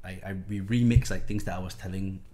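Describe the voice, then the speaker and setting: A man's voice: low-pitched, steady tone, quick pace, dark tone. A young man with a Singaporean English accent, a musician talking frankly and animatedly in a casual interview, with many restarts. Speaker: musician guest.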